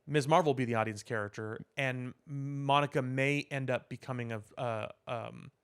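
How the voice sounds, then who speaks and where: A man's voice: mid-range voice, steady tone, normal speed, fairly bright tone, clean tone. Man casually talking movies on a podcast. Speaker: podcast co-host.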